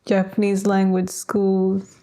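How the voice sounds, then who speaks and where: A young woman from New Zealand with a Kiwi accent, co-hosting a casual podcast in a calm way, often laughing and humming along. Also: mid-range voice, slow. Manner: calm, laughs often, short agreeing hums. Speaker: podcast co-host. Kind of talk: casual chat.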